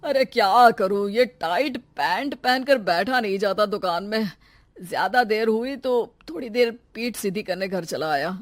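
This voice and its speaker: A woman's voice: medium pitch, measured pace, a little hiss or hum, lightly acted. A woman voicing a joking older father-in-law in a cartoon who makes wry remarks.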